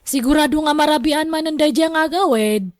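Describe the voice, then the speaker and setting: Woman playing a high-voiced, gossipy Filipino daughter. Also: brisk, no echo, studio quality, lightly acted. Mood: complaining, tattling. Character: gossipy younger woman tattling to her mother. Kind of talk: radio drama acting.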